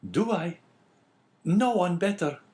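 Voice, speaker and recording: A bitter, talkative innkeeper from a classic novel, performed by a male narrator. He speaks in a raised, swooping pitch, plaintive and emphatic. He sounds like he wavers between grievance and caution. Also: expressive, slow pace, a little mellow. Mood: wavering.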